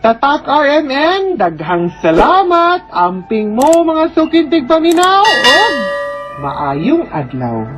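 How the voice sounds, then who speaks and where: A woman's voice: high voice, very animated, slow pace, over music. A woman with a Cebuano Filipino accent hosting a radio advice program, conversational and encouraging, often adding tag questions. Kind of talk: advice to letter writer, radio sign-off.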